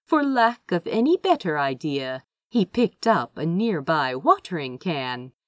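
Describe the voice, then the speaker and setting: Female audiobook reader, expressive storytelling, measured pace, close dry recording. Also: low voice, no echo. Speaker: audiobook reader of fan fiction. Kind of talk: expressive scripted story narration.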